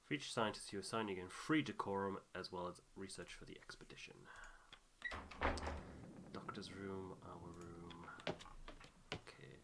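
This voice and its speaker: A man's voice: sits low, fairly even intonation, unhurried, long pauses. A man giving casual playthrough commentary as co-host of a gaming video. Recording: background noise, keyboard and mouse clicks.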